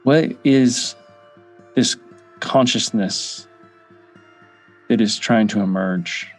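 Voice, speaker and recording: Slow-paced man guiding a meditation over music. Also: lowish voice. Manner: measured, pausing between short phrases. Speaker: meditation guide. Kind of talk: guided meditation. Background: background music.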